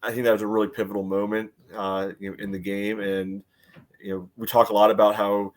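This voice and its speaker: A man with a fairly low voice speaks as a sports podcast panelist. His delivery is casual and halting, full of small filler phrases as he works toward each point. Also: flat delivery. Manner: hesitant, filler-heavy phrasing with frequent "you know".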